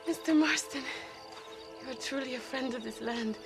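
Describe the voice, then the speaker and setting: A woman's voice: sits high, normal speed, music in the background. A woman playing a young Mexican peasant revolutionary in a video game, earnest, romantic and melodramatic, with a Mexican accent. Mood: fervent. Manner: melodramatic, fervent. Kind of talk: video game cutscene acting.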